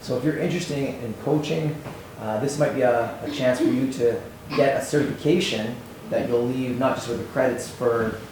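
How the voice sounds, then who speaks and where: A man with a medium-pitched, slightly hoarse voice gives an informal talk as a PE teacher. He sounds some way from the mic in a very echoey space. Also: fairly even intonation, normal speed, a little mellow. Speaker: PE department head, teacher and coach. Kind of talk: informal course presentation to a school assembly. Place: large echoey school gathering space. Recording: echoey hall, distant, faint background noise.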